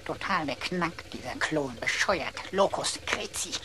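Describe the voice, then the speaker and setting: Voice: medium pitch, animated, measured pace, a loud crowd, lightly acted. An actor voicing a cheeky, wisecracking little computer in a German radio drama, flippant and mock-pompous. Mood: scornful.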